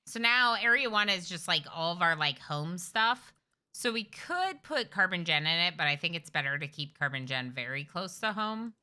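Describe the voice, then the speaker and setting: Lively, bright-voiced woman streamer. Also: on the low side, normal speed, smooth. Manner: chatty, talks to her game characters, excitable. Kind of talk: live gameplay commentary. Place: at home with her cat.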